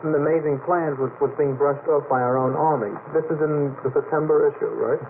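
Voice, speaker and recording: A man's voice: on the high side, steady tone, a little fast. A man speaking as a panelist on a late-night radio talk show, discussing and reading items aloud in an unscripted way. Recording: noisy, phone-like old radio broadcast.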